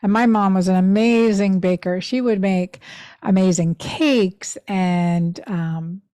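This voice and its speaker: Podcast host, woman, 50s, from Pennsylvania; heartfelt reflective storytelling, medium pitch, dry room. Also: fairly even intonation, slow pace, warm tone, no echo. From Pennsylvania, USA. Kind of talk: personal storytelling monologue.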